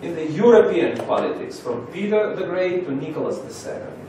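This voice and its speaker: A Russian man speaking English as a second language, giving a halting seminar introduction with many pauses. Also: a little high, expressive, warm tone, slight background noise. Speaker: lecturer. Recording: roomy echo from far off.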